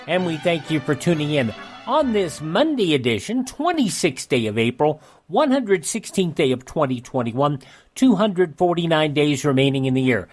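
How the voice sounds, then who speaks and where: A man's voice: on the high side, sing-song, normal speed, soft background music. A man hosting a radio show, reading a scripted narration of historical events.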